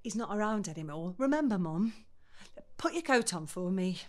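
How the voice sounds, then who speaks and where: A woman's voice: mid-range voice, animated, moderate pace, lightly acted. An actress playing a patient middle-aged English mother caring for her parent in an audio drama, chatty and coaxing.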